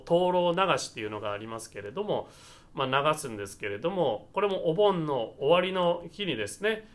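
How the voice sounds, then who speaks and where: Male Buddhist minister from Japan, explanatory and conversational, slightly high voice with very animated pitch movement. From Nagasaki, Japan. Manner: conversational, explains step by step, often ends phrases seeking agreement. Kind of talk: explanatory Buddhist talk with photos.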